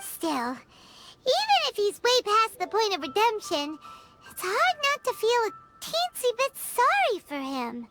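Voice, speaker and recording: A woman's voice: extremely high pitch, huge pitch swings, measured pace, over loud music, full character voice. A woman voicing a tiny, chatty floating girl companion in a video game who talks about herself in the third person. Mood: wary.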